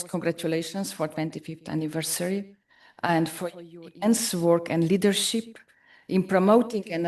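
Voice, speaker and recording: A woman with a Slovenian accent gives formal opening remarks in a somewhat low voice, measured and diplomatic. She is picked up from a distance, so her voice sounds set back from the microphone. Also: darkish tone. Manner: formal, deliberate diplomatic delivery. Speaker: ambassador. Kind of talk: formal conference address. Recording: distant mic in a conference room.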